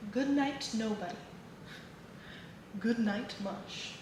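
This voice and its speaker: A woman reading children's picture books and poems aloud in an expressive, sing-song way. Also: fairly high pitch, takes it slowly. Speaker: children's book reader. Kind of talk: read-aloud storytelling for children. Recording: echoey room with clear background noise.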